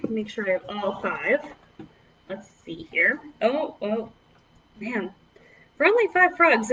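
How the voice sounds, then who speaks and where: A woman's voice: on the high side, sharp tone, lo-fi sound. A woman children's librarian leading a preschool storytime, speaking to young children in an encouraging, exaggerated way.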